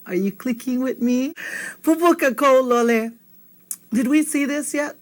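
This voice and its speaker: A woman's voice: high-pitched, unhurried. A woman teaching vocabulary in a casual video lesson. She keeps checking in with the learner, asking "okay?" after nearly every point. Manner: chatty, checks in with "okay?" constantly. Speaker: Hawaiian language teacher.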